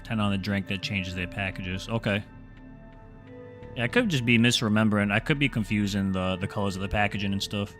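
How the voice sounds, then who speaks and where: A man's voice: lowish voice, fairly even intonation, brisk, fairly bright tone, faint music behind. Rambling Boston-accented male streamer. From New England. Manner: rambling, filler-heavy, drops his R's. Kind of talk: casual gaming livestream chat.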